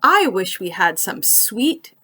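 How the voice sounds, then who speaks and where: A woman voicing a gentle, calm male frog in a storybook reading, soft and steady. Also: medium pitch, takes it slowly, full character voice. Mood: wistful.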